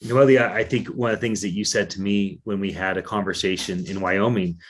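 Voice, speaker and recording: A man's voice: deep voice, steady tone, a little fast. A man hosting a live-streamed interview, friendly and effusive with his guests. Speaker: event co-producer and host.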